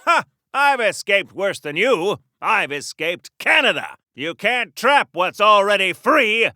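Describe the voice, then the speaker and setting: High-pitched, wholesome wartime superhero. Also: male voice, sing-song, slow pace, pushed and bright, dry recording, clean studio sound, full character voice. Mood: righteous, wry. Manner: quaint, polite, theatrical, declamatory. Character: earnest, wholesome 1940s patriotic soldier superhero, plucky and prim. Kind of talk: golden-age comic book hero game dialogue.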